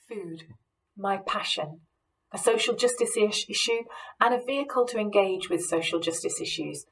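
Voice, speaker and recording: A woman with a British accent delivers a measured, scripted church lecture in a reflective sermon style. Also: medium pitch, a bit bright, slight background noise, rough recording.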